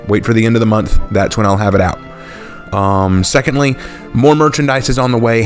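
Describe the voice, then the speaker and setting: Relaxed low-voiced man chatting to viewers. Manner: relaxed, thinks aloud with fillers and restarts. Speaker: YouTube channel host. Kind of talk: casual unscripted talk to viewers.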